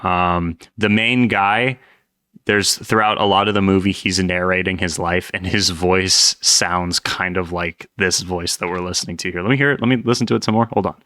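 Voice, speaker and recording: A man with a low-pitched voice gives casual reaction commentary. He is playful and joking, teasing his viewers in mock scolding. The sound is dry, with very little room in it. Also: fairly even intonation, normal speed, sharp tone, no echo. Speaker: host reacting to horror videos.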